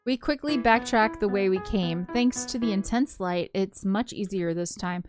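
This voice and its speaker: A woman's voice: medium pitch, moderate pace. Woman narrating over game music. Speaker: let's play co-host, narrator. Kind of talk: visual novel read-aloud with casual commentary. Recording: music playing behind the voice. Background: game soundtrack music.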